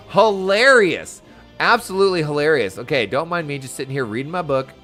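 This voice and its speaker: A man streams his gameplay in a bright, highish voice with some game music behind it. His delivery swings up and down a lot, full of enthusiastic exclamations. He talks casually to his viewers as he plays. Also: lively intonation, normal speed. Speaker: video game streamer playing an online role-playing game. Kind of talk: live gameplay commentary, casual chat to viewers.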